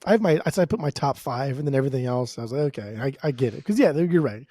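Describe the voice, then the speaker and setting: A man's voice: mid-range voice, expressive, quick pace, dark tone, no echo, studio quality. An American man co-hosting a podcast, talking casually about music in a profane, slangy, opinionated way. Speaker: podcast co-host.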